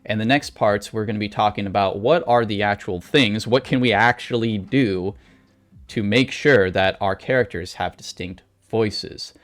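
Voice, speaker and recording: A man's voice: on the low side, normal speed. A man giving casual writing advice as an author, in a folksy, conversational way with emphasis on key points. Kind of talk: casual instructional vlog talk.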